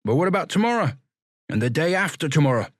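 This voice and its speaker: A man's voice: on the high side, sing-song, fast, no room sound, pitched above the performer's own voice. Give me a man doing a plain-spoken young pauper, in a dry close recording. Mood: scheming. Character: streetwise young male pauper, practical.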